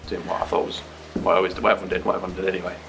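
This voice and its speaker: A man giving a relaxed, understated personal baptism testimony with frequent ums. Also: deep voice, rapid-fire, soft background music.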